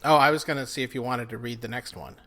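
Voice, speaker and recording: A man's voice: mid-range voice, steady tone, quick pace, fairly bright tone. A man co-hosting a casual podcast, with dry, wry humor and frequent laughs. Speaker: podcast co-host and editor. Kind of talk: casual chat.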